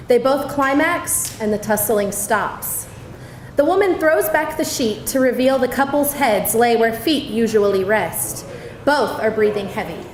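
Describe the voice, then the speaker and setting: A woman reading scripted stage directions as narrator at a live table read. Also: on the high side. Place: indoor venue, live reading. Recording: echoey room, faint noise.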